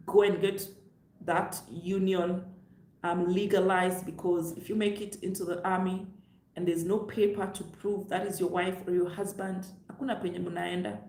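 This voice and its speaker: A woman's voice: lowish voice, a little mellow, echoey room, poor audio. A woman with a Kenyan accent giving direct, emphatic advice to her viewers, talking from a seat in a room of her home. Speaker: travel vlogger. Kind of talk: direct-to-camera advice vlog. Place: room on the upper floor of her home, seated.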